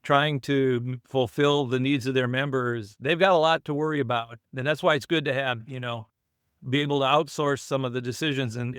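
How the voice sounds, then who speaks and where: A man's voice: mid-range voice, a bit bright, clean tone, no echo, studio quality. A man in his sixties hosting an interview, talking in a conversational way with frequent restarts. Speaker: podcast host.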